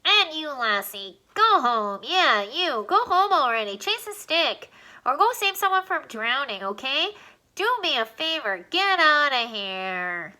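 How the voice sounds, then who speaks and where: A woman voicing a tiny, chatty male alien with a high, squeaky voice and a wisecracking manner. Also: big pitch swings, sharp tone, heavily acted. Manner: fast-talking, wisecracking.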